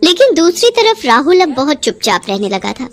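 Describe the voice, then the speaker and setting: A woman narrates a scripted drama as a storyteller. Her voice sits high, and her delivery swings widely in pitch from phrase to phrase. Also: very animated, normal speed.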